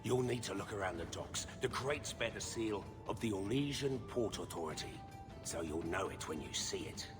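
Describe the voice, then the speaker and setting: A man's voice: lowish voice, expressive, moderate pace, over music, natural voice. A man playing a shifty, evasive smuggler, aggrieved and defensive, in a video game. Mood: smooth, persuasive. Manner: defensive, exasperated. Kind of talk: video game dialogue acting.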